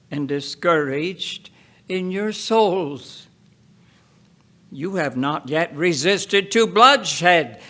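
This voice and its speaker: A man reading scripture aloud in a solemn, dramatic style, deliberate and emphatic, breaking the text into short phrases with pauses. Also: sits high, bright, forceful tone. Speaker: Bible reader.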